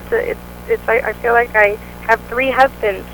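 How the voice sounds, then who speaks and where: A woman's voice: fairly high pitch, fairly even intonation, normal speed, a bit bright, a little hiss or hum, rough recording. A woman, the singer of a band, answering interview questions in an upbeat, chatty way with many fillers. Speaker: band vocalist, interview guest.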